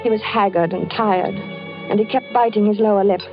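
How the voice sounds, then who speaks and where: A woman's voice: medium pitch, steady tone, a little fast, natural voice. An actress playing a worried wife who narrates her story in a 1940s radio drama, earnest and anxious. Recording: muffled old radio broadcast with music.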